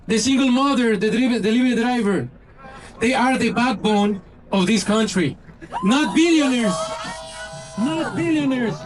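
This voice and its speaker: A man's voice: very high-pitched, a little hiss or hum, poor audio. A man giving an impassioned rally speech outdoors, building rhetorical lists and slogans. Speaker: rally speaker. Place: outdoor rally in a city square.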